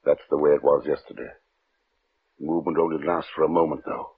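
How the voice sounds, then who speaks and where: Obsessed scientist, thin vintage radio sound. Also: male voice, very deep voice, little pitch movement, a little fast, slightly rough, exaggerated voice. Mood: focused. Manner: intense, insistent. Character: obsessed male scientist, driven and secretly frightened. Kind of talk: old-time radio drama acting. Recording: muffled, phone-thin old radio recording.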